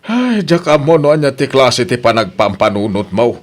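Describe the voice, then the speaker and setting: A man with an Ilocano accent playing a genial, expressive father and uncle in a radio comedy-drama, full of interjections. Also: medium pitch, normal speed, lightly acted. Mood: indignant. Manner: animated, interjecting.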